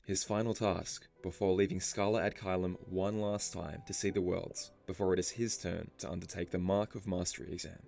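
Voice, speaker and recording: A man reading scripted story explainer narration in a measured, storytelling delivery. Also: deep voice, fairly even intonation, vocal fry, a little mellow. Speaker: video narrator. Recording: music behind the voice. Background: background music.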